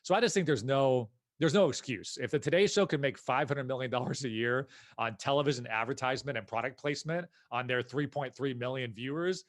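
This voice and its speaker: An American man speaks as a guest on a podcast, in a conversational and opinionated way. His voice sits at an average male pitch, and he puts emphasis on his points. The sound is dry, with no room echo. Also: brisk. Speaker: podcast guest, company founder and CEO. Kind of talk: casual interview conversation.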